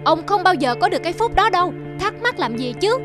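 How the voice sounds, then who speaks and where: A woman voicing a scheming, flattering middle-aged male court official in a cartoon. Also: extremely high pitch, fast talker, music in the background, voice pushed up. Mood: sneering. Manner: flattering, smooth-tongued, sneering to rivals.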